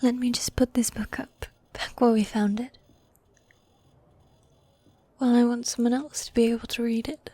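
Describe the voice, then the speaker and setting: A woman voicing a teasing, tender demon girlfriend in a medieval fantasy ASMR roleplay, speaking in soft breathy whispers with formal, old-fashioned phrasing. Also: fairly high pitch, fairly even intonation, normal speed, pauses often, no echo. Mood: affectionate teasing. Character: gothic demon girlfriend, teasing, tender, dominant yet needy.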